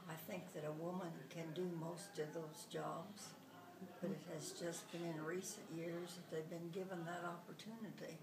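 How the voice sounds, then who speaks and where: A woman, giving slow, deliberate interview answers and now and then correcting herself. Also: lowish voice, level tone. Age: 90s. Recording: roomy echo, distant mic, audible noise.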